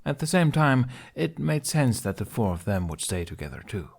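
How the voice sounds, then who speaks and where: A man's voice: medium pitch, sing-song, normal speed, gravelly, husky. A man reading scripted fiction aloud as an amateur audiobook narrator.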